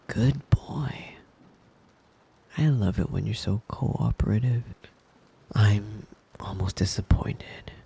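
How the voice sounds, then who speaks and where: A man playing a possessive, doting, dominant wolf boyfriend in an intimate ASMR roleplay, speaking softly and coaxingly, often in a whisper. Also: lowish voice, heavily acted. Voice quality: soft, breathy, often whispering. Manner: slow, coaxing, possessive endearments. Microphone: close microphone, dry.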